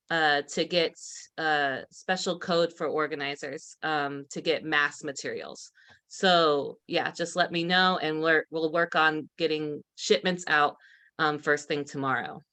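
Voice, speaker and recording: A woman's voice: deep voice, measured pace, bright, forceful tone, clean tone. A woman activist organizer giving casual announcements on a webinar video call.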